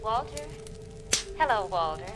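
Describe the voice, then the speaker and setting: An actress playing an affectionate, playful wife in a sing-song, coaxing manner, in 1940s radio acting on an old recording. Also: female voice, a little high, very animated, a little slow. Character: playful, affectionate wife cooing to her dog. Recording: old radio recording under loud music.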